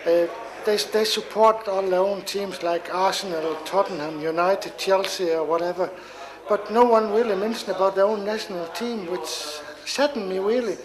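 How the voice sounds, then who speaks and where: A man's voice: high-pitched, moderate pace, few pauses, crowd noise, low-quality recording. A man from Denmark, the founder of a football team, answering interview questions in a hesitant way with many filler sounds.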